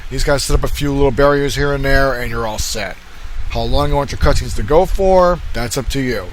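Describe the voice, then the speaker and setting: Casual man talking over clear background noise. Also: mid-range voice, fairly quick. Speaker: tutorial host. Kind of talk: casual screen-capture game tutorial.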